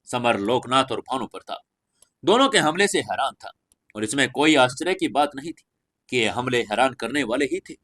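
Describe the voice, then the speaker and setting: A man's voice: medium pitch, animated, moderate pace, fairly bright tone. Man narrating a dramatic fantasy story.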